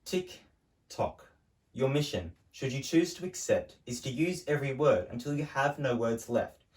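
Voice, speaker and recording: A young man, a student, performing slam poetry with deliberate, theatrical rhythm. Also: mid-range voice. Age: young adult. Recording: distant, echoey room pickup.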